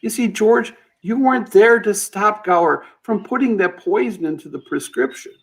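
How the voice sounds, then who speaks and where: A man playing a gentle, earnest guardian angel who patiently explains things, in old-time radio play style. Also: sits high, moderate pace, gravelly.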